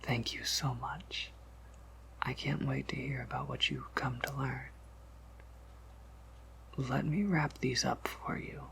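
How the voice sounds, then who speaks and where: A man voicing a courteous, softly amused vampire apothecary shopkeeper in a whispered ASMR roleplay, with formal, gentle phrasing. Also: mid-range voice, very animated, exaggerated voice. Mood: politely coaxing. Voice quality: breathy whisper with gravelly creak. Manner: polite, gentle, formal, unhurried.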